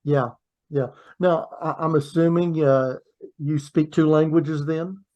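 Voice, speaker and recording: A man hosting a podcast, chatting in a friendly, halting way and often repeating words. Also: fairly high pitch, fairly even intonation, warm tone, lo-fi sound. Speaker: podcast host. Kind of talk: casual interview chat.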